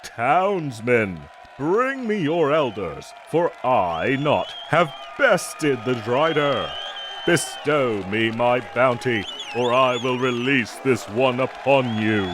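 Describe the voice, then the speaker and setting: Man playing a gallant, expressive fantasy knight. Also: mid-range voice, slow, a crowd in the background, noisy background. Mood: commanding, theatrical. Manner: formal, archaic, grandiose phrasing. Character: gallant, theatrical knight, honorable with a playful teasing streak. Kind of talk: fantasy audio roleplay acting.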